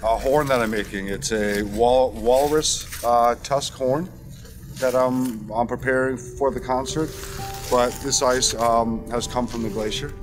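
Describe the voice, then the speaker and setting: An American man, an ice carver, speaking in a relaxed documentary interview outdoors in the Arctic. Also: mid-range voice, unhurried. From Connecticut, United States. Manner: relaxed, thoughtful, with many fillers. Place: outdoors in the Arctic, on a ship deck. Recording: music playing behind.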